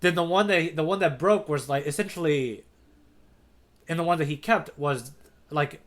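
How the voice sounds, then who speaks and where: A man's voice: a little high, normal speed, fairly bright tone. A man co-hosting a casual manga readalong podcast, talking with slangy enthusiasm. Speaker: podcast co-host.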